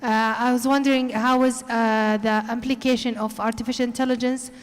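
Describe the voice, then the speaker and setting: A woman's voice: fairly high pitch, little pitch movement, fairly quick, smooth. A woman asking a spontaneous question from the audience, hesitant and searching for her words. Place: large conference hall. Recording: reverberant conference hall.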